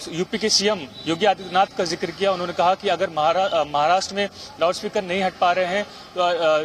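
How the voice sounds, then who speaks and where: A man giving a live, unscripted news report as a field reporter. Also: sits high. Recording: faint outdoor street and traffic noise.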